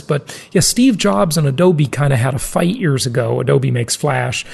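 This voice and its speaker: Gravelly man hosting a podcast. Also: medium pitch, darkish tone. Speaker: podcast host. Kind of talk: conversational podcast interview.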